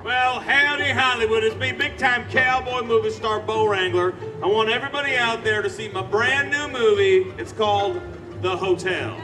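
A boastful cowboy movie star, played by a man with a folksy drawl and a high voice. He sits a little back from the mic, with music playing behind him. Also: moderate pace, distant mic, lightly acted, rough recording.

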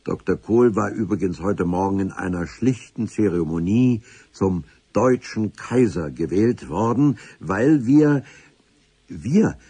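Casting: solemn civil-servant chronicler for radio drama. Husky, mellow tone, formal wordy narration with dramatic pauses. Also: male voice, on the low side, lively intonation, no room sound, full character voice. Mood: solemn, wry. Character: pedantic, solemn senior civil servant recounting adventures. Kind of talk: scripted radio play narration.

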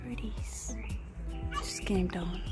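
A woman's voice: medium pitch, extremely slow, loud music behind the voice. A young Dutch-speaking woman from the Netherlands vlogging enthusiastically outdoors on a handheld camera. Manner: chatty, enthusiastic, talking while walking outdoors. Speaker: travel vlogger. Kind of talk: casual travel vlog narration. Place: outdoors in parks and streets.

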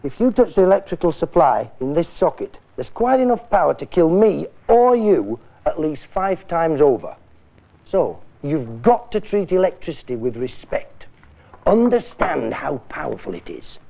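A stern, middle-aged British schoolmaster lecturing a class. His gravelly voice swings widely in pitch as he questions and admonishes with blunt emphasis. The sound is thin and muffled, like an old public information film. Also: sing-song, moderate pace. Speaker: teacher.

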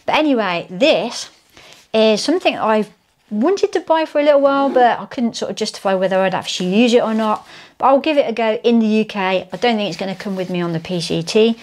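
A woman's voice: medium pitch, expressive, a little fast, darkish tone. A woman, chatting casually to camera at home about hiking gear, with many fillers and restarts. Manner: chatty, self-deprecating, lots of fillers and restarts. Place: living room at home, indoors.

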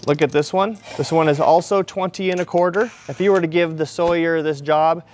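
A man in his forties with a fairly high speaking voice explains fabrication in a large metal shop. He talks plainly and poses questions before answering them, recorded on a lapel mic. Faint shop noise sits behind his voice. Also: a little fast, a little mellow. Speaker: welding and fabrication instructor. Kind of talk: shop demonstration with voice-over.

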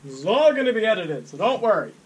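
A young man chatting casually to camera at home, with a halting, self-critical mutter and a slightly husky voice. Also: high voice, very animated, slight background noise, rough recording. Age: young adult. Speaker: amateur video creator doing voice impressions. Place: small home room, indoors.

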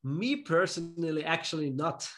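Relaxed man on a video call. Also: fairly high pitch, normal speed. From Austria. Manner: relaxed, simple phrasing, non-native English. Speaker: musician guest. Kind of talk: casual interview chat. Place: home room with pictures on the wall.

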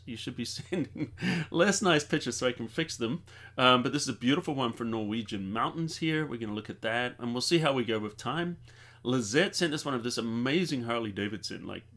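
A chatty middle-aged man hosts a live tutorial stream, talking straight to his viewers in an upbeat, easygoing way. He sits at a desk in a home studio, close to a desk mic, and his voice sits at an ordinary middle pitch. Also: sharp tone. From New Zealand. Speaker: host.

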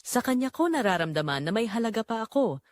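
A woman's voice: a little high, big pitch swings, quick pace, no room sound, studio quality. A woman narrating a scripted dramatic story in the first person.

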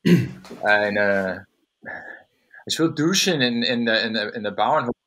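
An American man with English as his first language, a podcast guest on a video call, chatting casually in halting, searching German full of fillers. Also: on the low side, animated, fairly bright tone. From USA. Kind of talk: casual chat. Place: home room on a video call.

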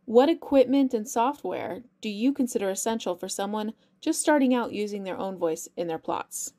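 A woman acts as interviewer, reading out scripted questions. Her voice sits at a medium pitch and has a mellow, dark tone. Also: animated, moderate pace.

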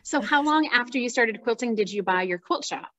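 A woman's voice: on the high side, animated, a little fast, pushed and bright, dry recording. A woman in her thirties hosting an interview over a video call, upbeat and enthusiastic, laughing along with her guest. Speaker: host. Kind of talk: casual interview chat. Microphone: video call webcam. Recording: dry, dull lo-fi video call audio.

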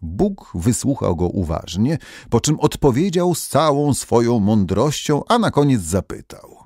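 A male storyteller with a medium-pitched voice narrates a folk tale. He is theatrical and expressive, with animated swings of intonation, in a dry studio-like sound. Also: unhurried, no echo.

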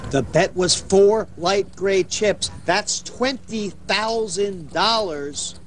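A man's voice: sits high, takes it slowly. A man doing play-by-play television commentary on a poker game. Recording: faint background noise, dated TV broadcast.

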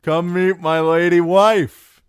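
A man's voice: medium pitch, big pitch swings, clean tone, no echo, studio quality, voice pushed up. A man voicing a boisterous, drunken young singer in an audiobook, cocky and mocking, with a swaggering drawl. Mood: jovial boasting. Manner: loud, swaggering, slow drawn-out delivery. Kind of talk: audiobook character voice.

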